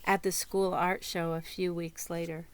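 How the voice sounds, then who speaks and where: A woman reading a children's picture book aloud as a storyteller, in a deliberate manner. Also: fairly low pitch, level tone, slight background noise. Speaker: storybook reader.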